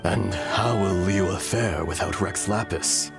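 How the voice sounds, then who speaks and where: An actor playing an ancient, weary dragon spirit inside a young man's body, speaking in a solemn, measured, hushed way, with game music behind. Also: sits low, vocal fry, exaggerated voice. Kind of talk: video game voice acting. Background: orchestral game music.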